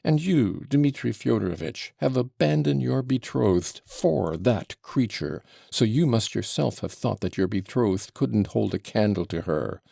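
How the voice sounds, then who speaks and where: A man voicing a blustering old father who yells and splutters theatrically. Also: low voice, lively intonation, normal speed, hardly any pauses, dry recording, studio quality. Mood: ranting.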